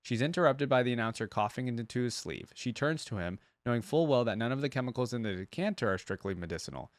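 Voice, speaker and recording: A man's voice: mid-range voice, lively intonation, fast talker, no room sound. Dry-recorded man, casual reaction host. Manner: conversational, explanatory. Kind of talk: reading lore aloud with casual commentary.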